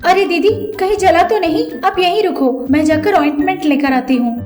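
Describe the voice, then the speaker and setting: Casting: woman playing a deferential, eager-to-please young daughter-in-law for a family tale; high voice, roomy sound with music. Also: normal speed, a little mellow. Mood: caring. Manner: earnest, polite, deferential. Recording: echoey voice over background music.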